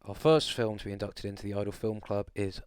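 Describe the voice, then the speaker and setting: A man's voice: on the low side, fast talker, darkish tone, clear, resonant tone, no echo, studio quality. A man co-hosting a film podcast, chatting casually with wry, sarcastic humour and some swearing. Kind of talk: casual chat, recapping a film plot.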